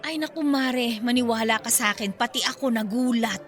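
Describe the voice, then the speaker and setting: A woman acts out an excitable middle-aged mother in a radio drama, gossiping with her best friend. Her voice is on the high side, with soft music underneath. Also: a little fast, natural voice. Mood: confiding. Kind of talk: conversational radio drama acting.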